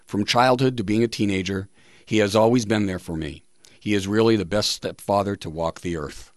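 Male radio host, lowish pitch, measured emphatic reading delivery, close and dry studio mic. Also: no echo. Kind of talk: reading listener tributes and quotes on a talk show.

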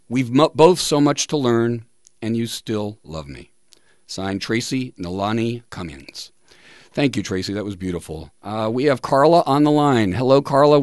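A middle-aged man hosting talk radio in a measured, deliberate way, sometimes reading tributes aloud. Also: mid-range voice, darkish tone, dry recording, studio quality. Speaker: radio show host.

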